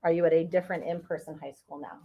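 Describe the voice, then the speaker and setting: A woman attorney asks her witness one patient question after another, filling pauses with "okay" and "um." Her voice sits low, and it comes through a dull, lo-fi courtroom feed. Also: fairly even intonation.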